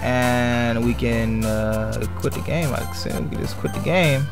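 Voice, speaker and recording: A man talks in a casual, rambling way on a gaming livestream. He circles back over his own phrases in a mid-pitched voice, with music playing behind him. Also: a little slow, creaky. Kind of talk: casual gaming stream chat.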